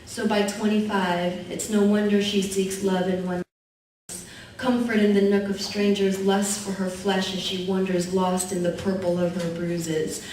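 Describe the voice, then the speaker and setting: Young woman, spoken word poet, measured rhythmic delivery, distant, echoey hall. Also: medium pitch, little pitch movement, a little mellow, slight background noise. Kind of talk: spoken word poetry performance. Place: university event hall. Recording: echoey hall recorded from far off.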